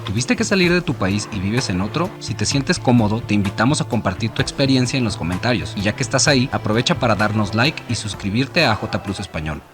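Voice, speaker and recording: A man narrates a news report in a low voice, moving quickly and matter-of-factly through the facts. Clear music plays under his narration. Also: lively intonation. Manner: brisk, matter-of-fact.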